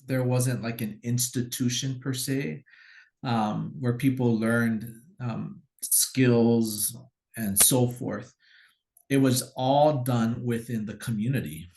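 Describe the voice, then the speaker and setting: Hawaiian man, mid-pitched, easygoing, explains things patiently in conversation. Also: slow pace, creaky, rough recording. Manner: relaxed, explanatory, acts out quoted commands. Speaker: guest teacher. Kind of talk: casual storytelling conversation.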